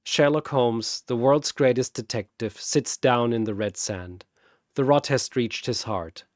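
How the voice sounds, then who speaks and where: A man's voice: mid-range voice, measured pace, no echo, studio quality. A man narrating a scripted battle story and announcing its fighters in a dramatic style.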